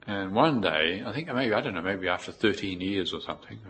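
A middle-aged man with a New Zealand accent giving an informal, reflective Buddhist talk in a measured way, with pauses. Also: deep voice, expressive, a bit bright. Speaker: Buddhist monk, teacher. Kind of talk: informal spoken dharma talk with personal anecdotes.

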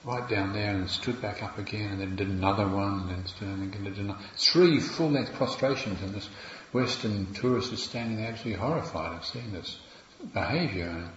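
Man, New Zealand accent, Buddhist monk, reflective dharma talk, lowish voice, echoey and slightly muffled recording. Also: fairly quick, a little hiss or hum. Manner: gentle, reflective, wry asides with soft laughs. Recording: roomy, slightly dull recording with faint ambience.

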